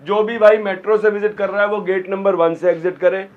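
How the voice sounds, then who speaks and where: High-voiced hoarse shop seller. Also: male voice, fairly even intonation, fairly quick. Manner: rattles off phone prices in short clipped lists. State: sore throat. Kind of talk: sales pitch in a shop. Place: small phone shop. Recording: dull lo-fi sound.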